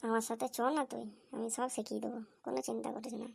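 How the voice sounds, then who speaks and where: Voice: medium pitch, flat delivery, fast talker, gravelly, slightly rough, no room sound. A performer voicing a crude, cocky young male slacker with slangy, foul-mouthed banter in a comedy cartoon. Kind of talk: amateur comic cartoon dubbing. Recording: slightly noisy amateur cartoon dub.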